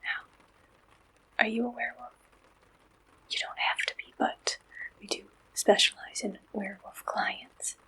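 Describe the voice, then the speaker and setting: A woman softly performing an ASMR roleplay as a receptionist, gentle, reassuring and unhurried, in a breathy whisper close to the microphone. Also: a little high, fairly even intonation, poor audio.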